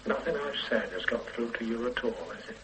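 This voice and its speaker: A man's voice: medium pitch, a little fast, background noise, lightly acted. An actor in a radio play voicing a tired, ordinary older man, a husband and grandfather, who talks in a plain, weary, pleading way.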